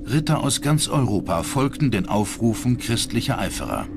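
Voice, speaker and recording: Guy doing history doc voiceover, lowish voice with lots of melody, music underneath. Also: sing-song, moderate pace, gravelly. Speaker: documentary narrator. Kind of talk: scripted historical documentary narration.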